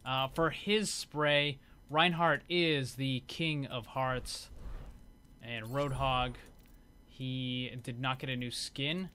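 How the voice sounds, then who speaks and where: A man's voice: medium pitch, steady tone, takes it slowly, sharp tone, slight background noise. A man giving casual, off-the-cuff commentary as host of a gaming showcase video.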